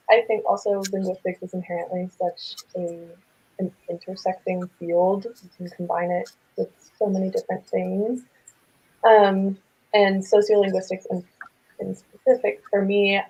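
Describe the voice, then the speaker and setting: A young woman, a postgraduate student, answering in a thoughtful, measured way. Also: mid-range voice, warm tone, husky, low-quality recording. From United States.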